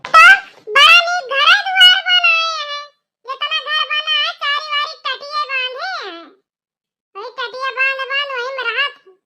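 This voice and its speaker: A performer voicing a scornful, boastful village mother-in-law in an Awadhi cartoon comedy, with a squeaky, pitched-up voice and a mocking, gossipy manner. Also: measured pace, bright, forceful tone, heavily acted.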